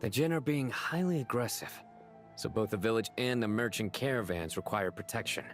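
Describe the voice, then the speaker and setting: A man's voice: lowish voice, brisk, faint music behind, close to an everyday voice. A man voicing a composed, commanding young desert lawman in an anime game, terse and serious.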